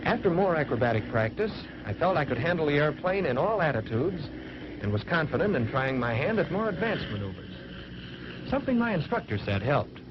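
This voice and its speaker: An American man playing an earnest fighter pilot trainee, narrating a 1940s training film in measured, matter-of-fact phrases, on an old film soundtrack. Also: a little high, sing-song. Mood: earnest, composed. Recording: muffled, noisy vintage 1940s film soundtrack.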